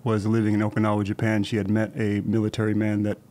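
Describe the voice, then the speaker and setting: A man in his forties, born in Thailand and raised in Southern California, telling his story as a podcast guest in a thoughtful, measured way. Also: lowish voice, monotone, dark tone. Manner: measured, thoughtful, recalls details with small restarts. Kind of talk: relaxed conversational storytelling. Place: podcast studio with shelves and plants. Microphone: studio desk mic with headphones.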